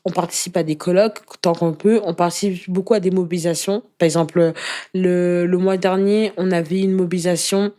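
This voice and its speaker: Woman, lowish voice, soft dark timbre, interview guest, earnest, halting phrasing, conversational testimony. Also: steady tone. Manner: halting, searching for words with repetitions. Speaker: interview guest, anti-racism activist. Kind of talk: personal testimony in casual conversation.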